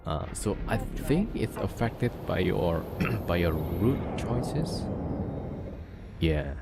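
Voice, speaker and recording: A man's voice: low voice, lively intonation, extremely slow, gravelly, heavy background noise. A man from Indonesia, casually chatting with viewers and answering their questions while he streams a space game. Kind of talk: casual gameplay chat with viewers. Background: game music.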